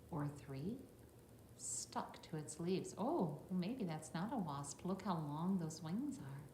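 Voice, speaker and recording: A middle-aged woman teacher reading a picture book aloud to young children, adding instructive asides and checking in with little questions. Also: low-pitched. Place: classroom, indoors. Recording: roomy echo, faint background noise.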